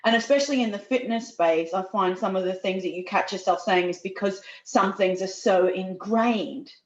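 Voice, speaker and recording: A woman with an Australian accent softened by British, chatting candidly and informally as a podcast guest. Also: medium pitch, moderate pace. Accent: Australian, slightly blended with British. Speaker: podcast guest, personal trainer. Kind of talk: casual podcast chat. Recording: echoey, slightly dull audio.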